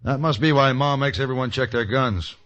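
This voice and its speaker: A man's voice: medium pitch, brisk, a bit bright, close to an everyday voice. An actor playing a cool, wry hired gunman in an old radio western, speaking with dry courtesy. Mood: dry, taunting. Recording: dull vintage radio recording.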